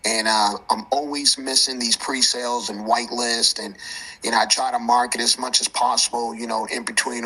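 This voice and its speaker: A man's voice: mid-range voice, bright, forceful tone, slightly rough, rough recording. A man calling in to chat casually, repeating phrases and padding his sentences with filler. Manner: repeats phrases, uses many "you know"s. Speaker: community member calling in with a question. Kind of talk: casual chat on an audio call.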